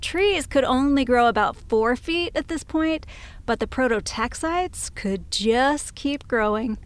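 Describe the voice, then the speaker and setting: High and very smooth, a nerdy scientist in a fiction podcast. She is dry, matter-of-fact and unfazed. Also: expressive, unhurried. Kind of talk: naturalistic audio drama acting.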